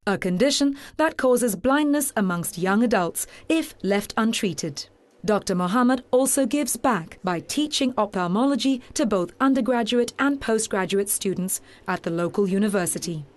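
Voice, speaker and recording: A woman giving scripted documentary narration in a measured, formal way. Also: a little high.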